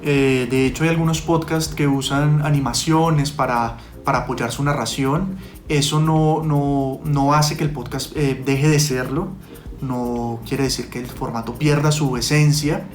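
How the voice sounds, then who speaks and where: A man answering interview questions conversationally as a podcast producer, with hesitant, halting phrasing. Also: medium pitch, steady tone, faint music behind.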